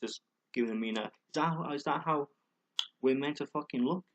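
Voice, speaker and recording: A man's voice: medium pitch, fairly quick. A man vlogging a rambling, heated monologue, full of fillers, stumbles and repeated words, with plenty of swearing. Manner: swears a lot and gets heated. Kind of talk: ranting monologue to camera.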